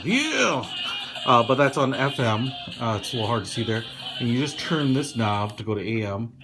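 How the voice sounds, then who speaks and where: A man giving a casual, hands-on gadget demonstration in an explanatory, measured way, hedging his opinions as a product reviewer. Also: medium pitch, slight background noise.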